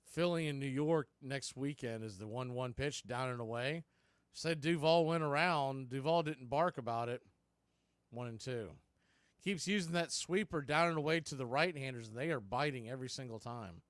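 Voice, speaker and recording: A man doing live baseball play-by-play, mixing clipped pitch calls with conversational asides about other games. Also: normal speed, smooth, no room sound. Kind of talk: live sports play-by-play commentary with scoreboard asides.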